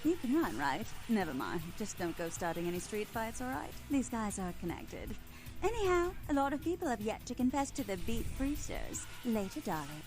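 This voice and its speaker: Sassy, animated nightclub owner. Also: female voice, on the high side, moderate pace, music in the background, poor audio. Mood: teasing, businesslike. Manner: sassy, teasing, lively. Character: brash flirtatious female nightclub owner in debt, businesslike. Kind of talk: video game voice acting.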